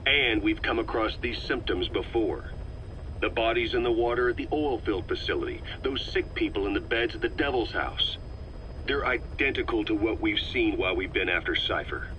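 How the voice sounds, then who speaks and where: A shrewd veteran intelligence officer in a dramatic game scene. His voice is deep and forceful, his delivery deliberate and level-headed, caught on a slightly muffled, hissy tape. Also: animated. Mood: firm, reasoning. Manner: measured, deliberate, analytical. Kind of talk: dramatic video game voice acting.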